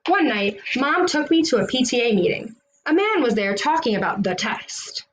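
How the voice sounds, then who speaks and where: A woman's voice: fairly high pitch, sing-song, moderate pace, a little hiss or hum, rough recording. A woman reading a children's storybook aloud, narrating expressively in the words of a school kid. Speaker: children's read-aloud host.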